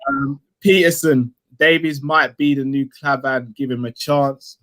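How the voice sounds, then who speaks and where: A man's voice: mid-range voice, fairly even intonation, measured pace, fairly bright tone, rough recording. Laid-back man reading comments. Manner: laid-back, chuckling, conciliatory. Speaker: host reading viewer comments. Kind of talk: casual podcast chat, reading comments aloud.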